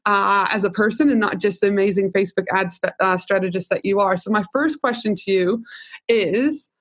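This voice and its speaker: A woman's voice: medium pitch, no echo. A woman hosts a business podcast. She gushes with enthusiasm and sounds salesy. The recording is dry and close, with no room sound. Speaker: podcast host, business coach. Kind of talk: podcast intro and interview.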